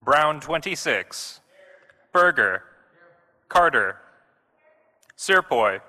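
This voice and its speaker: A man working as a senate clerk, calling out formally and deliberately in isolated phrases, as in a roll call. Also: fairly high pitch, very animated, bright, forceful tone. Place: large legislative chamber. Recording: echoey hall with long reverb.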